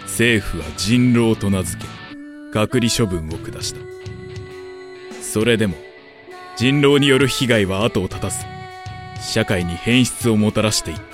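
A man narrating a dark werewolf audio drama in a solemn, measured scripted style. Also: lowish voice, music in the background, close to an everyday voice.

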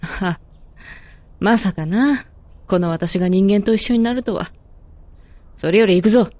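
A woman's voice: mid-range voice, measured pace, darkish tone, dry recording, natural voice. A woman playing a proud, blunt pregnant werewolf in a voice drama, with brusque, self-assured, masculine wording. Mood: brisk, composed. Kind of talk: Japanese amateur voice drama acting.

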